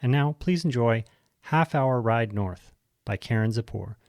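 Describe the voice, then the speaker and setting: A man's voice: mid-range voice, expressive, unhurried, a little mellow, dry recording, studio quality. A man reading scripted credits and introductions as the announcer for a theater festival.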